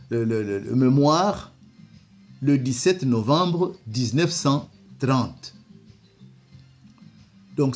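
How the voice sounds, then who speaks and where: A man's voice: medium pitch, a little mellow. A man, not a native French speaker, giving commentary and reading from an old document, hesitant with many repeated words. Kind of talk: slow spoken commentary reading from a book.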